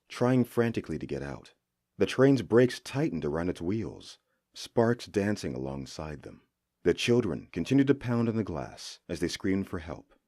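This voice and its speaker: A man reading a horror story as a scripted narration, with suspenseful, expressive delivery. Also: low-pitched, dry recording, clean studio sound.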